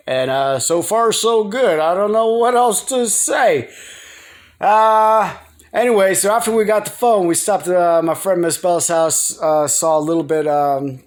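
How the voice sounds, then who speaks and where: Halting American man with a higher-pitched voice. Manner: halting, repetitive, trailing off and circling back. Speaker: video blogger. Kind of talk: casual rambling vlog.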